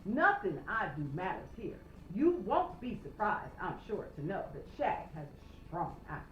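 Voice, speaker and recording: A woman reads a children's story aloud with an animated, expressive delivery. Her pitch moves widely and she pauses for emphasis. The sound is roomy, with faint background noise. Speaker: storytime reader. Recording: roomy, slightly noisy, dull home recording.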